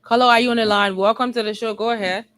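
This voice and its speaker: A woman's voice: a little high, fairly even intonation, fast talker, fairly bright tone. A woman with a Liberian accent hosting a live call-in talk show and giving commentary. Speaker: radio call-in show host.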